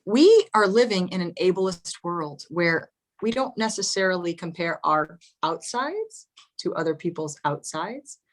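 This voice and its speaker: Lowish woman's voice on a video call, rambling storyteller, lots of you know, dry jokes. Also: lively intonation, measured pace. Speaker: panelist. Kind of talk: casual panel chat on a video call.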